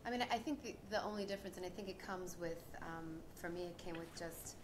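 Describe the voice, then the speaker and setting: A woman's voice: lowish voice, fairly quick. A young adult woman, an actress on a panel, talking earnestly and reflectively with frequent restarts. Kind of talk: panel discussion. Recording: audible background noise.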